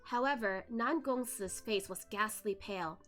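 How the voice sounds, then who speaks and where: A woman's voice: mid-range voice, moderate pace, a bit bright, soft background music. A woman reading a novel aloud as a streamer host, narrating expressively with casual asides. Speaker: host of a novel read-along, virtual streamer.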